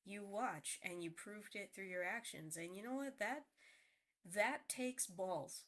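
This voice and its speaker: A woman's voice: medium pitch, unhurried, a bit bright. A woman with a nasal voice just after a cold, rambling earnestly in a casual vlog monologue. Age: adult. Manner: rambling, earnest, restarts often. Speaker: vlogger. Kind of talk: casual heartfelt tribute monologue.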